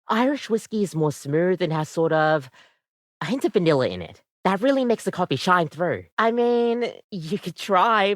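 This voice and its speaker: A woman voicing a friendly, earnest young coffee maker come to life as a barista in a video game, with a chatty, casual, expressive delivery. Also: fairly low pitch, no echo, clean studio sound. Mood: chatty, helpful.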